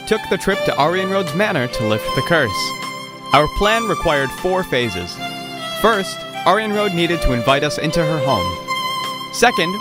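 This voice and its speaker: A man playing a theatrical bard, narrating a folk-tale quest in a declamatory storytelling way. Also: on the high side. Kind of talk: scripted narration and rhymed declamation. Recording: music loud behind the voice.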